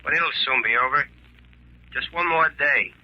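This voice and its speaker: A man's voice: medium pitch, moderate pace, highs cut off, close to an everyday voice. An actor playing a menacing male stalker on the telephone, taunting and coldly threatening, in 1940s radio drama style.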